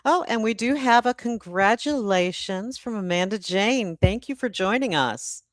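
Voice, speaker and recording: A woman hosting a casual live-stream chat, speaking haltingly with pauses mid-phrase. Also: medium pitch, animated, no echo. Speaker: host and publisher.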